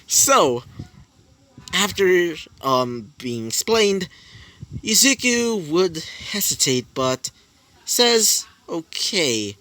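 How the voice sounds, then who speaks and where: A man improvising a fan story with many fillers and repeated speech tags. His pace is slow and his pitch moves a lot. His voice is bright and slightly high. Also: big pitch swings.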